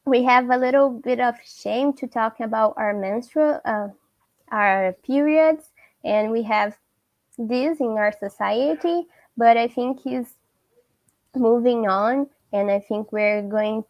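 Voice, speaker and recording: A woman's voice: a little high, slow, dry recording. A woman, a panel guest searching for her words in English during an online discussion. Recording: dull, dry lo-fi audio.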